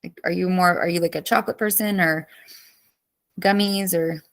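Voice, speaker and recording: A woman's voice: lowish voice, steady tone, normal speed. Woman teacher with a cracking voice. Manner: chatty, greets students by name and reacts to chat. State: voice cracking, losing her voice. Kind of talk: live online class review session.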